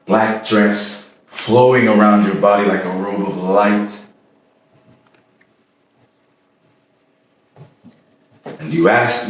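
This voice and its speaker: An actor playing an anguished man haunted by obsessive love, in an intense, halting theatrical monologue. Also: sits low. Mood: haunted, brooding. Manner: intense, halting, with repetitions and sudden swells. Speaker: stage actor. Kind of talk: theatrical staged reading, dramatic monologue. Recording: echoey room from far off, faint noise.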